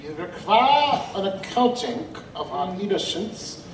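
An actor playing a courtly, condescending vampire liaison with formal, deliberate phrasing in a steampunk audio drama. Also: male voice, sits high, very animated, full character voice. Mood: haughty, amused. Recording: echoey, distant, faint noise.

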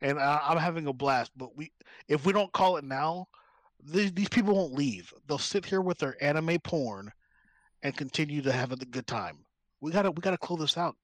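A man's voice: a little high, normal speed, dry recording. A man co-hosting a casual comedy podcast, playful, laughing easily and sliding into mock rants. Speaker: podcast co-host.

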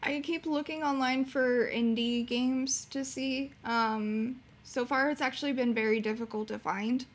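A young woman with a fairly high, youthful voice chats casually as an interview guest. Her manner is bubbly and giggly, and she talks about her work in an informal, conversational way. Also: fairly even intonation, measured pace, few pauses. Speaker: podcast guest, streamer and beginning voice actor.

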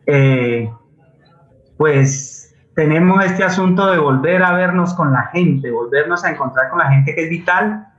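A man with a Colombian accent talking off the cuff as a guest in a forum, pausing to search for words. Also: on the high side, fairly bright tone. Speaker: guest playwright and community theatre leader. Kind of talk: unscripted forum talk about theatre projects. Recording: dull, roomy internet call audio.

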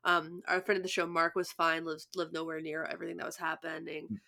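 A woman's voice: low voice, flat delivery, brisk, creaky, sharp tone. A young adult woman co-hosting a podcast, chatting casually and rambling through stories with many restarts, sounding stuffed up with sniffles. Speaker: podcast co-host.

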